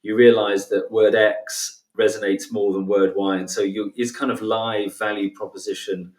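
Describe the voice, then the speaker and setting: A man hosting a podcast, asking questions in a halting way, repeating words and saying "you know" often. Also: fairly low pitch, flat delivery, darkish tone. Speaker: podcast host. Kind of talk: interview questions. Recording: roomy, distant from the mic.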